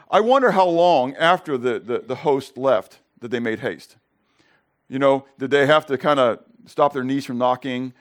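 A middle-aged male pastor preaching a sermon with Greek word study, conversational and peppered with "okay?" and rhetorical questions, rising louder on key points. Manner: rises louder and higher on emphasis.

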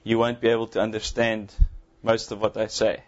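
Earnest South African pastor, mild accent. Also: male voice, lowish voice, flat delivery, muffled recording. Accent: Afrikaans-accented English, mild. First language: Afrikaans. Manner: earnest, halting, many ums and uhs. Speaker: visiting pastor. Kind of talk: sermon testimony to a congregation. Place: church sanctuary.

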